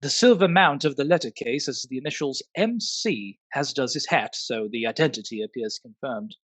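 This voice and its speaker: A man acting as a brisk, self-assured Edwardian police doctor in a radio play, pronouncing things emphatically. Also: medium pitch, lively intonation, fairly bright tone, dry recording. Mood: confident, clinical.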